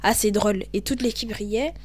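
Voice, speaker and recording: A young woman from Cameroon with a Cameroonian French accent hosts a podcast, talking in an animated, emphatic way. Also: mid-range voice, moderate pace. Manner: animated, emphatic, switches into English. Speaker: podcast host. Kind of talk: casual monologue.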